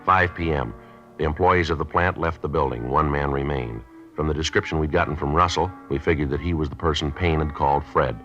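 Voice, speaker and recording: An actor playing a terse, matter-of-fact police sergeant in an old-time American radio crime drama. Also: male voice, extremely low pitch, fairly quick, fairly bright tone. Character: terse, businesslike male police sergeant, posing as buyer. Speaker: police detective narrator. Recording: dull vintage radio recording, music behind.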